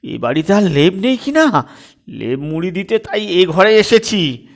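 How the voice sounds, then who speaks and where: Man acting a pleading, high-pitched young husband. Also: very animated, a little slow, gravelly, exaggerated voice. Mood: defensive. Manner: pleading, dramatic. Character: pleading, put-upon young husband hiding under a quilt.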